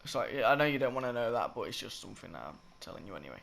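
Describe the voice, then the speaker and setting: A croaky, slightly sick young man rambling through a game-building tutorial, often correcting himself. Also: mid-range voice, sing-song, racing. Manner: rambling, self-correcting, filler-heavy. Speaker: gaming tutorial creator. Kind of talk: casual gameplay tutorial commentary. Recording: loud game noise behind. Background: game sound effects and clicks.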